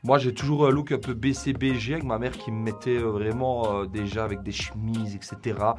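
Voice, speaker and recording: A man's voice: mid-range voice, fairly even intonation, a little fast. A 27-year-old man from Belgium and Croatia, chatting casually as an interviewee. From Belgium, also Croatia. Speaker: interviewee in a couple's question game. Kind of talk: casual chat. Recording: music bed behind the voice.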